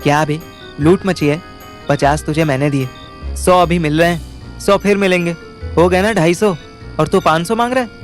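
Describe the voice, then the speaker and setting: A man's voice: a little high, moderate pace, pauses often, faint music behind, close to an everyday voice. A man playing a wisecracking, haggling young amateur detective who narrates an audio story with slangy, sarcastic banter. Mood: indignant, mocking. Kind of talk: first-person audio story narration with acted dialogue.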